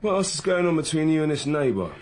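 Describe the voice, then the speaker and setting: A man's voice: fairly high pitch, sing-song, quick pace, a little mellow. An actor playing a sceptical male police detective in a radio drama, probing with wry, pointed questions. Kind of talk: naturalistic radio drama dialogue.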